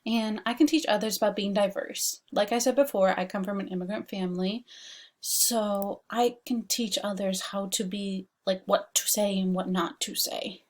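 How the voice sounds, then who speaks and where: A young woman from Washington DC talks through a class assignment into her laptop webcam at home. She keeps it loose and chatty, leaning on "like" and "um" as she goes, at a middling pitch. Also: fairly even intonation, moderate pace. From Washington DC, United States. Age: young adult. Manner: chatty, frequent "like" and "um" fillers. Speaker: student presenting an assignment. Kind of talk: casual recorded class presentation. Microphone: laptop webcam mic.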